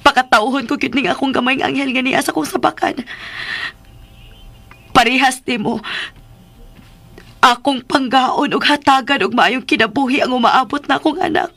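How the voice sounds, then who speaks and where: A woman's voice: high-pitched, moderate pace, fairly bright tone, no echo. A woman playing a tender, brave young expectant mother in a radio drama. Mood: tender, resolute.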